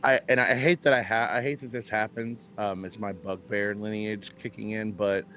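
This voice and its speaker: Man playing curious tabletop adventurer, lowish voice, polite, repetitive, chatty, dull recording. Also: fairly even intonation, normal speed, a bit bright, a little hiss or hum, close to an everyday voice. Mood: friendly, curious. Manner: chatty, repeats words, apologetic. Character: friendly, polite, curious adventurer with bugbear lineage.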